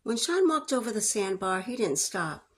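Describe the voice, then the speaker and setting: A woman's voice: medium pitch, sing-song, moderate pace, breathy edge. A woman reading a story aloud as a storyteller, in narration style.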